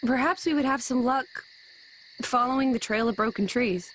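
A woman playing a gentle, earnest young fantasy warrior who speaks politely in a video game. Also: on the high side, normal speed, a little hiss or hum, close to an everyday voice, poor audio. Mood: gentle concern. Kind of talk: video game cutscene acting. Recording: music under the voice.

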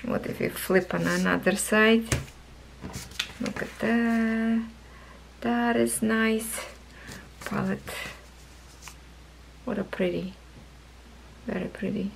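A woman hosting a craft vlog, chatting slowly through a cross stitch kit review, voice going hoarse, recorded at a table indoors. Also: fairly high pitch, fairly even intonation, slight background noise, rough recording. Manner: chatty, thinking aloud, soft and slow. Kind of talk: casual product review vlog. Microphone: camera over a table, hands only in frame.

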